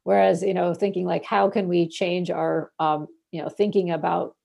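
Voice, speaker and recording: A woman's voice: on the low side, little pitch movement, normal speed, creaky, dark tone, breathy edge. A young woman from Bangladesh, a researcher, answering interview questions in a rambling way full of fillers and restarts.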